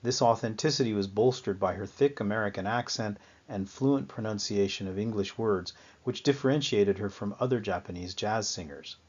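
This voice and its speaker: Man lecturing with many halting fillers. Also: fairly low pitch, a little mellow. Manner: halting, frequent fillers and self-corrections. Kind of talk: unscripted lecture on music history. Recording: slightly dull lo-fi sound.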